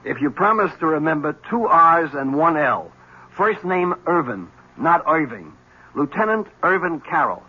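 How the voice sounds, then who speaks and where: An actor playing a wry, laid-back police lieutenant in a 1970s radio drama. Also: male voice, on the high side, sing-song, normal speed, breathy edge. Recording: dull old radio broadcast.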